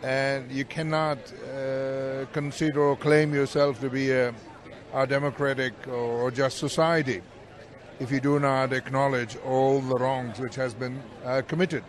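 A man's voice: mid-range voice, little pitch movement, unhurried. A man from Pakistan, speaking emphatically and repeating words for force at an outdoor rally. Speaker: rally attendee.

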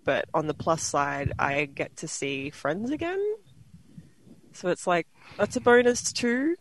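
Slow, thoughtful young Australian speaker. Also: medium pitch. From Melbourne, Australia. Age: 20s. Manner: thoughtful, unhurried, lots of "like" and "you know". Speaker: panel guest. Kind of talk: casual chat.

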